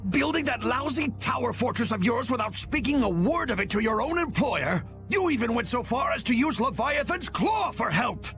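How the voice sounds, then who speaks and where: A man's voice: very high voice, expressive, normal speed, hardly any pauses, over music. A man voicing a haughty, indignant villain in a video game, imperious and full of sputtering outrage. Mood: indignant, demanding. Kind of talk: dramatic video game voice acting.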